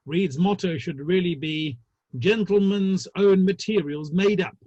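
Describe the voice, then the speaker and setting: Husky, high-pitched, droll Victorian theatre man played by a man. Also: a little slow, dark tone, no room sound, highs cut off. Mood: droll, gossipy. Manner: arch, ornate, droll. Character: wry, talkative Victorian theatre manager, urbane and gossipy. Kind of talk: dramatic play reading. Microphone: online call.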